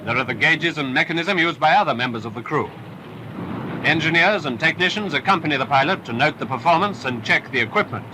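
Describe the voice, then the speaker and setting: A man's voice: on the high side, animated, a little fast. Man narrating a 1940s newsreel. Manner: upbeat, emphatic, patriotic delivery. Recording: noisy restored 1940s film soundtrack.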